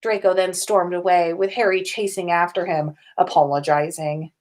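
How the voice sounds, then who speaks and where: A woman's voice: on the low side, fairly even intonation, moderate pace, darkish tone. A woman podcast host reading fan fiction aloud in an informal storytelling style.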